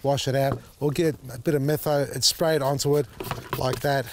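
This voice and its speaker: A man, slightly husky as his voice recovers, chatting casually while demonstrating repotting outdoors in his garden. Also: mid-range voice, fairly even intonation, normal speed, a little hiss or hum. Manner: chatty, thinks out loud, many fillers. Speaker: gardening show host. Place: outdoor garden beside a tall hedge.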